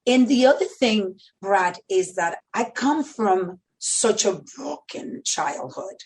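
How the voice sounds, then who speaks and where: A woman's voice: medium pitch, lively intonation, slow. Middle-aged woman with a Dominican Spanish accent. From Dominican Republic. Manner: emphatic, repeats phrases. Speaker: podcast guest, medical center executive. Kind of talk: personal storytelling in an interview.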